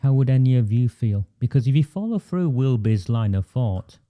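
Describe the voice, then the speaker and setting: A man hosting a live online show, pressing his points with blunt, rhetorical questions. His voice sits at a middle pitch and sounds dark and mellow. He talks over a video call. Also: expressive, moderate pace. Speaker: host.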